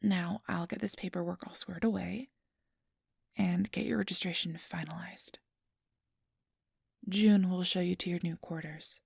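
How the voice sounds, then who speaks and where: A woman playing a kindly, apologetic registration clerk in an ASMR roleplay, speaking softly and often in a whisper with a gentle, reassuring manner. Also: fairly low pitch, steady tone, measured pace, pauses often, no room sound, clean studio sound, heavily acted. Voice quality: soft, breathy, often whispered. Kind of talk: ASMR roleplay, soft whispery speech to the listener.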